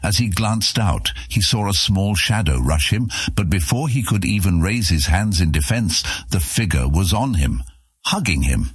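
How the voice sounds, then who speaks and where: A man narrates a fantasy tale in a very deep, husky voice. His delivery is scripted, measured and dramatic. The sound is close and very dry. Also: lively intonation, dry recording. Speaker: audiobook narrator.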